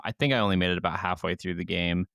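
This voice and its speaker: A man's voice: sits low, steady tone, racing, gravelly, a bit bright, no echo. A man co-hosting a podcast, talking casually and informally about games. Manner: conversational, informal. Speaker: podcast co-host. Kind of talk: casual chat, game review.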